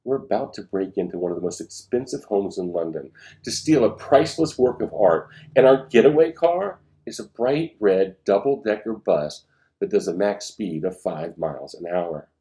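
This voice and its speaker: Storybook role: grumbling young spy leader read by a man, questioning, dark low tone. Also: animated, normal speed. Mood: exasperated, hushed. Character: grumbling schoolboy spy, a reluctant team leader. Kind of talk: storybook read-aloud dialogue.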